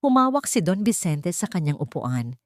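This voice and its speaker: Low-voiced woman narrating a drama. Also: big pitch swings, moderate pace, dry recording, clean studio sound. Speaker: story narrator. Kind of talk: scripted dramatic audio story narration in Tagalog romance drama manner.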